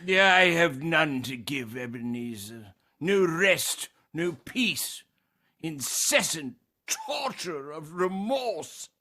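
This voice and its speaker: A man playing the mournful, anguished ghost of a dead business partner in a radio play, speaking in solemn, drawn-out declamation. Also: a little high, sing-song, sharp tone, full character voice. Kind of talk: theatrical radio play acting.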